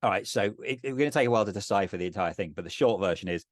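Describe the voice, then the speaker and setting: A middle-aged man casually narrating a tabletop game, thinking aloud in a halting way. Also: on the low side, animated, dry recording, studio quality. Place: indoors on a video call.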